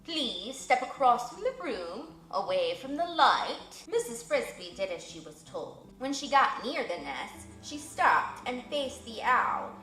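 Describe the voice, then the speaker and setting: Woman narrating a storybook, animated, bright, echoey room, faint music. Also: a little high. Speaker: reader. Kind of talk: storybook read-aloud.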